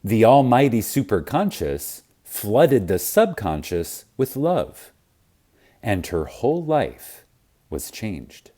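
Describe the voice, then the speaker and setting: A man reading a spiritual audiobook reverently and emphatically, with deliberate dramatic pauses. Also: on the low side, sing-song, mellow. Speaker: audiobook narrator.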